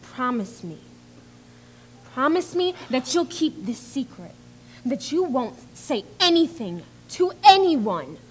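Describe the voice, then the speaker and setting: A woman acting a confused, frightened sixteen-year-old girl in an emotional stage drama, halting and pleading in her delivery. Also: sits high, sing-song, a little hiss or hum, heavily acted, low-quality recording. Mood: defensive, pleading. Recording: music behind the voice.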